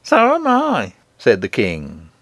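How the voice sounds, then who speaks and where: A man's voice: medium pitch, huge pitch swings, slow, creaky, fairly bright tone, dry recording, heavily acted. A man voicing a pompous, henpecked goblin king with a dignified but coaxing manner for a storybook. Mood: meek.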